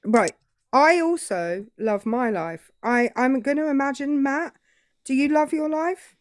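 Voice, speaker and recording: A woman's voice: sits high, measured pace, no echo. A British woman arguing politics in a live debate, cutting and sarcastic, often cutting in. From Britain.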